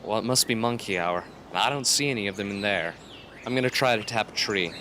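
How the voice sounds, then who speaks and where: A man playing an earnest, gentle teenage boy in an amateur radio drama, patient and persuasive. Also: fairly low pitch, a little fast, a little hiss or hum. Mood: practical.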